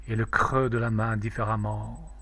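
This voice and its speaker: A man reciting a poem in a measured, declamatory, solemn way. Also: lowish voice, flat delivery, sharp tone. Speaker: poetry reader.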